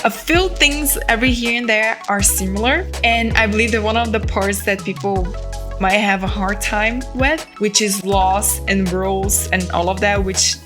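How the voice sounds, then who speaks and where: A woman's voice: medium pitch, moderate pace, over music. A young woman from Brazil with a Brazilian Portuguese accent, speaking English as a second language, giving earnest, encouraging study tips in a casual chat to her viewers. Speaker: vlogger. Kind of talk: casual direct-to-camera advice.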